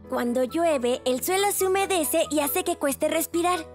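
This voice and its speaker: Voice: sits high, slow, faint music behind. A performer playing a timid, bookish little boy in a cartoon dub, with a Latin American accent. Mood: matter-of-fact. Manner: precise, know-it-all when explaining.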